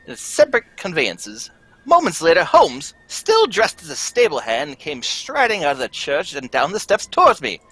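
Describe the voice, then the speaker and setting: A performer playing an earnest, excitable Victorian gentleman doctor telling his adventure in a radio play. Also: medium pitch, normal speed, breathy edge, no echo, heavily acted, low-quality recording. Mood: earnest, excited. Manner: earnest, storytelling. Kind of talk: old-time radio drama narration.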